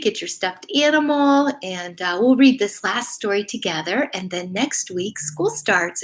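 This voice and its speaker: Older lady, highish bright voice, chatting to little kids and reading storytime, encouraging. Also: poor audio. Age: middle-aged or older. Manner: encouraging, addresses young children directly. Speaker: elementary school principal or staff storyteller. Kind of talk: casual live chat and children's bedtime story read-aloud.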